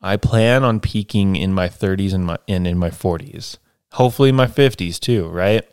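Young male podcast host, lowish voice, smooth and clean, close dry mic, casual rambling monologue. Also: dry recording. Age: 20s. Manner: rambling, reflective, lots of fillers and tag questions.

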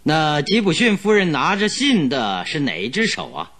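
A master detective in a radio play, composed, curious, intonation moves around a lot. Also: male voice, fairly high pitch, huge pitch swings, normal speed, a bit bright, slight background noise. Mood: composed, probing. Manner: courteous, inquisitive. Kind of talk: Chinese radio drama acting.

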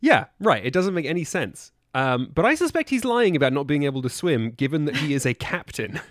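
A man's voice: fairly high pitch, very animated, a little fast, fairly bright tone, dry recording, clean studio sound. A man on a podcast in casual discussion of a book, hesitant and often circling back to clarify. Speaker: podcast panelist.

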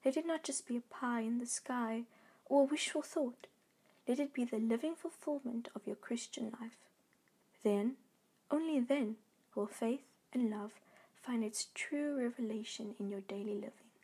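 Higher-pitched, husky woman reading a devotional. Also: normal speed, dark tone. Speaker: devotional reader.

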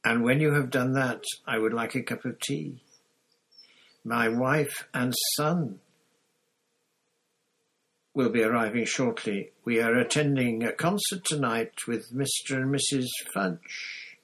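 A man voicing a deranged older official who rambles in halting, urgent bursts, louder and higher than his own voice. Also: poor audio. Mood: rambling.

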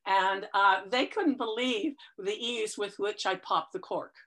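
Woman guest, bright and lively, a touch congested, an eager storyteller. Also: medium pitch, measured pace. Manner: chatty, enthusiastic storyteller with frequent fillers. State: slightly stuffy from a cold. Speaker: interview guest, fitness client. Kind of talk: casual conversational chat.

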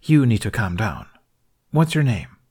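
A man voicing a stern, brisk female alien healer who talks firmly and reassuringly. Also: mid-range voice, lively intonation, a little mellow, husky. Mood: stern, soothing.

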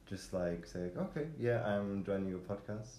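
Low-voiced man hosting a podcast. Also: moderate pace, warm tone, reverberant. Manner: rambling, many fillers and restarts. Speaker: podcast host. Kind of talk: casual conversational podcast.

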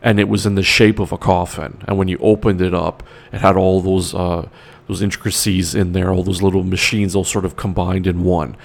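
Host, male, 40s, very low and gravelly, rambling, enthusiastic solo talk. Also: flat delivery, a little fast. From Canada. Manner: rambling, enthusiastic, many fillers and restarts.

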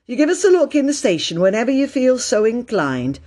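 A woman's voice: a little high, very animated, a little fast, darkish tone, pitched above the performer's own voice. A woman voicing a jolly, affable male station master in a children's storybook. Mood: jovial, bashful.

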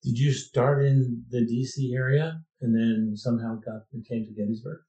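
A man's voice: mid-range voice, steady tone, moderate pace, mellow. A man hosting an interview podcast, chatting with his guest and rambling a little with restarts. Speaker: podcast host. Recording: roomy echo.

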